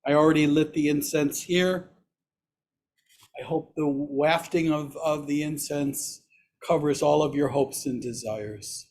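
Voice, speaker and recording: Man, raspy, hoarse, slow intoning, Buddhist teacher, chanted practice. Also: a little high, steady tone, darkish tone. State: hoarse after surgery. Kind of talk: leading a chanted metta practice.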